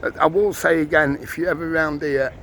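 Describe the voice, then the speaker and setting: An older man, chatting casually while walking a town tour, his voice a little squeaky and strained. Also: steady tone, brisk, fairly bright tone. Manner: chatty, rambling, self-mocking. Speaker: walking vlogger. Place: outdoors on busy town streets. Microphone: handheld camera on a gimbal. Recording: outdoor street noise.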